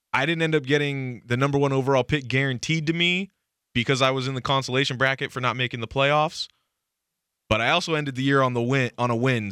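A man's voice: medium pitch, sharp tone, clean tone, no echo, clean studio sound. A young American man chatting casually as a podcast co-host, informal and supportive. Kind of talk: casual chat.

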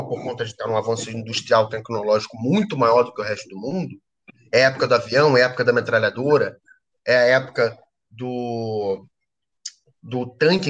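A man with a Brazilian Portuguese accent explaining history in a casual, lively way, often checking in with his listeners. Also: mid-range voice, a little hiss or hum. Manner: colloquial, lively, frequent "tá?" check-ins. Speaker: history teacher. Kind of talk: explaining history to a class or show.

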